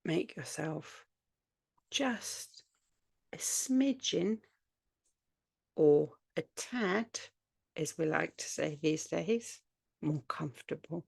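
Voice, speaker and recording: A woman guiding a yoga nidra relaxation, speaking soothingly in short, unhurried phrases with long pauses between them. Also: deep voice, expressive, gravelly, darkish tone, husky, no echo. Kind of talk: guided meditation, spoken relaxation practice.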